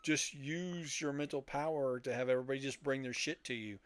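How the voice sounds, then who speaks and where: A man's voice: mid-range voice, a little fast, clean tone, no room sound. A middle-aged or older man chatting casually as a podcast co-host, with a joking, rambling manner. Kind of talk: casual group chat about old radio and films.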